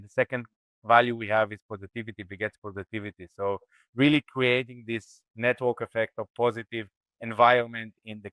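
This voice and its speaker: Bright, clear-voiced Israeli-born man. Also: fairly low pitch, no echo, low-quality recording. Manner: thoughtful, reflective, with frequent restarts. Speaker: startup CEO guest. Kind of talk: podcast interview.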